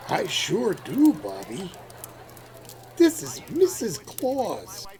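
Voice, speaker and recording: A man playing a warm-hearted, folksy husband who acts as Santa in an amateur radio play. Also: sits high, huge pitch swings, very slow, full character voice. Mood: kindly, jolly. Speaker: actor in an amateur audio play.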